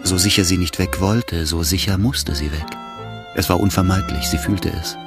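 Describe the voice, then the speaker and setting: A man's voice: low-pitched, normal speed. A man delivering scripted literary narration as the narrator of a radio drama. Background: loud music.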